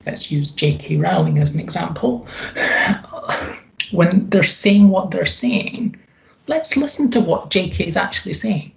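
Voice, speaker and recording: A middle-aged woman talks as a guest in a reflective interview. Her voice is deep and heavily gravelled, and she leans on repeated phrases to make her point. The recording sounds a little dull and lo-fi. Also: a little slow, darkish tone.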